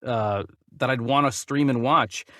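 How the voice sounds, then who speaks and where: A man hosting a casual movie news chat in an animated, jokey and irreverent way. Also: medium pitch, a little slow, no room sound, clean studio sound. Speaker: podcast host.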